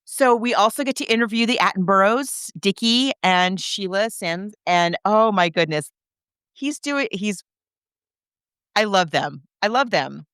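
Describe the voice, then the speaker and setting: A woman's voice: medium pitch, lively intonation, unhurried, a bit bright, clear, resonant tone, dry recording, studio quality. A woman hosting a podcast, discussing film casually in an enthusiastic, chatty, emphatic way. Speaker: podcast host.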